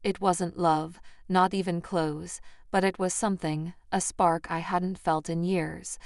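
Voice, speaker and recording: A woman's voice: fairly low pitch, steady tone, unhurried, no room sound, studio quality. A woman reading a scripted first-person story as its narrator.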